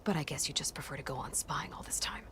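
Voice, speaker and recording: A woman's voice: low voice, expressive, quick pace, a bit bright, in a whisper. A woman playing a determined, sardonic young female hero in a video game, speaking in a dry, defiant manner. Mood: guarded, bitter. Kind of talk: video game cutscene acting.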